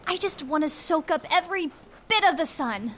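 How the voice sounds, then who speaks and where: An enthusiastic, oblivious young theater crew member in an audio drama. Her voice is high and very animated, breezy and earnest, with light outdoor noise behind it. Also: normal speed. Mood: sunny, carefree.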